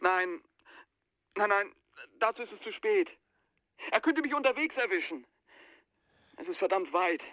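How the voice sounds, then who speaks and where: High-pitched, frightened, haunted man. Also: expressive, measured pace, long pauses, sharp tone, heavily acted. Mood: uneasy, fearful. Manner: urgent, agitated, hesitant. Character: frightened man haunted by the wind, anxious and pleading. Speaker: radio drama actor. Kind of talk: 1980s German radio play acting.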